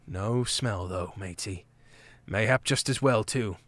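A man voicing a streetwise male mouse thief with a low, gruff, colloquial manner. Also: slow, fairly bright tone, no room sound. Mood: wary.

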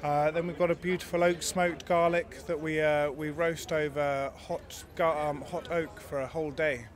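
A man in his thirties answers questions at a stall under a marquee, his voice sitting a little high for a man. He explains in a friendly, easygoing way, sometimes restarting his sentences. The sound comes through a handheld mic at an outdoor show. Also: level tone, normal speed. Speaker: garlic farm stall worker. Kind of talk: casual interview answers. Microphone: handheld mic held by the interviewer.

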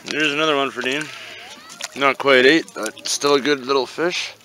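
A man's voice: medium pitch, steady tone, normal speed, sharp tone, rough recording. A man co-hosting a fishing vlog, making brief, laid-back, casual remarks on the catches. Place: outdoors on a wooden lake dock. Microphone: handheld camera mic. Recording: clear outdoor noise.

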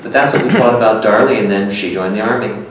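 A man in his thirties reading the part of a dry, blunt, sceptical companion in a staged script reading, deadpan and terse. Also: on the low side, fast talker, close to an everyday voice. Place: small hard-walled gallery room, indoors. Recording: very echoey room, distant, faint noise.

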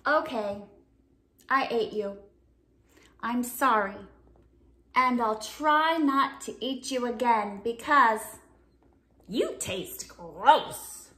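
Woman putting on a sulky, dramatic storybook shark, high-voiced. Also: expressive, very slow, long pauses, fairly bright tone, full character voice. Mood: grudging apology. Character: sulky, dramatic, wounded shark with a hearty appetite. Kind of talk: picture-book read-aloud.

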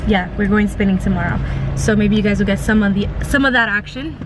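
A woman's voice: medium pitch, quick pace. A woman in her twenties chatting casually to a camera in a bubbly, giggly, rambling way. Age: 20s. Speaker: vlogger. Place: inside a car at night. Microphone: camera at arm's length. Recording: noisy car interior, handheld camera.

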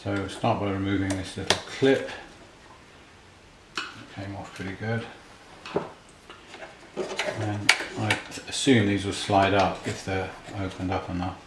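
Middle-aged man, very low voice, understated casual repair commentary, roomy sound, clattering handling noise. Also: flat delivery, unhurried, pauses often. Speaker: repair hobbyist demonstrating a restoration. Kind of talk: casual hands-on tutorial commentary, thinking aloud while working. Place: indoors at a repair workbench.

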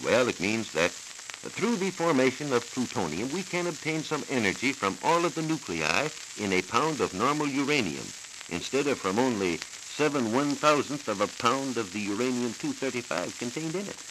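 An American man, a science reporter, explaining in a measured, formal, didactic way from a script on 1940s educational radio, on a hissy old transcription disc. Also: mid-range voice, sing-song. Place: radio studio.

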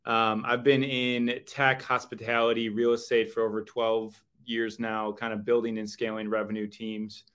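A man with a Chicago accent introducing himself as a guest on a video-call webinar, friendly and relaxed. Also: lowish voice, normal speed, hardly any pauses, smooth. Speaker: company vice president, webinar guest.

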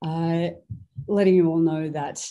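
A woman in her forties hosts a webinar with a rough, hoarse voice pitched very low and darkly. She talks chattily and welcomingly from a studio. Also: steady tone, a little slow, warm tone, muffled recording. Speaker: webinar host. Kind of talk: hosting a live webinar. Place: studio with branded backdrop.

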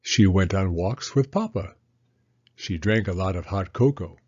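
A man narrating a children's read-aloud story in an expressive, deliberate manner. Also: sits low, husky, muffled recording.

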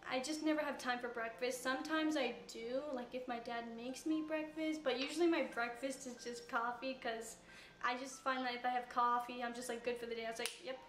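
A teenage girl from Canada with a high-pitched voice, chattily answering fan questions in a casual Q&A. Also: steady tone, brisk, a bit bright, echoey room, a little hiss or hum. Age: teens. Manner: chatty, rambling with fillers. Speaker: YouTuber answering Q&A. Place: room with couch, recorded in a reacted video.

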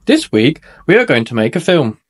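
A man voicing a kind female gazelle teacher in a children's story, speaking in a gentle, encouraging way. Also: a little high, sing-song, normal speed, slightly rough.

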